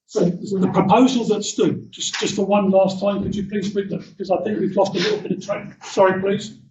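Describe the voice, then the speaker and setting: Polite hesitant man running the meeting, high-ish voice, kinda far off. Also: fairly even intonation, brisk, a little mellow, slight background noise. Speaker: meeting chair. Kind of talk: formal council meeting. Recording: distant, echoey, dull room mic.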